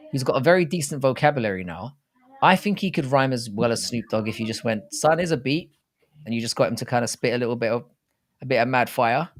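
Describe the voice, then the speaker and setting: A middle-aged British man co-hosting a casual live sports chat, blunt and sweary as he rambles through banter. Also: mid-range voice. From Britain. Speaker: live stream co-host.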